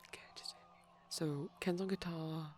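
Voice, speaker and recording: A person playing a shy, gently geeky boyfriend in soft, breathy ASMR, rambling and explaining over a movie and often dropping into a whisper, with a creaky edge. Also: mid-range voice, steady tone, normal speed, a faint crowd, a little hiss or hum. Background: TV playing in the background.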